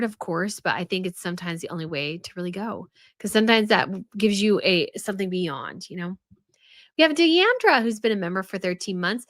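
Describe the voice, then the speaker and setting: A woman's voice: mid-range voice, a bit bright, dry recording, clean studio sound. A young woman hosting a casual live stream, chatting and answering viewer comments with many fillers and restarts. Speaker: host of a royal news live stream.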